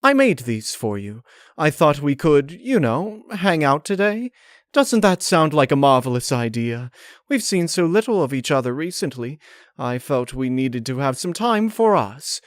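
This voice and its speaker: A man's voice: a little high, sing-song, measured pace, no room sound, studio quality, pitched above the performer's own voice. A man voicing a genteel, dramatic female pony who is falsely sweet and scheming, with an affected, ladylike, gushing manner. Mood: inviting.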